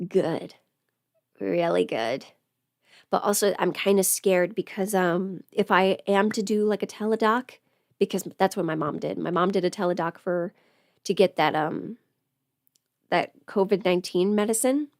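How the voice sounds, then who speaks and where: A young woman with a mid-pitched voice talks casually to her livestream viewers. She rambles and breaks off her own sentences in an informal, unscripted way. The sound is dry, with very little room in it. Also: unhurried, creaky, a little mellow, no room sound. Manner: rambling, self-interrupting, informal, chatting to viewers. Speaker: livestreamer and audio performer. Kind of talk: casual livestream chat while putting on makeup.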